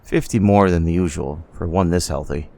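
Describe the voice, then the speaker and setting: A man playing a deferential, businesslike trader in human blood donors in an ASMR roleplay, gravelly, with a folksy salesman's patter. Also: deep voice, moderate pace, mellow. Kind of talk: ASMR audio roleplay acting.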